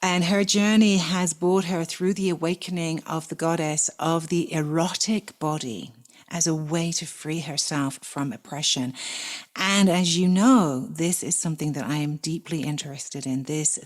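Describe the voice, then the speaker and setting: Low-voiced effusive woman podcast host. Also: fairly even intonation, measured pace. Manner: effusive, affectionate, laughs often. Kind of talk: warm interview chat.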